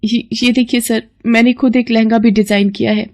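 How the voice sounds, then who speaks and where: A woman voicing an earnest, polite young aspiring model in a moral story, speaking haltingly and mixing English into Hindi. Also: on the high side, flat delivery, a little mellow, close to an everyday voice. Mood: frightened, pleading. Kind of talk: dramatised moral-story acting.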